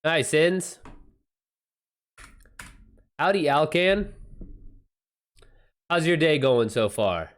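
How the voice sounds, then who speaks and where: A man hosting a casual livestream, chatting with viewers in an informal, slangy, halting way with some swearing. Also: a little high, big pitch swings. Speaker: livestream host and writer. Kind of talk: casual livestream chat, reacting to viewer messages.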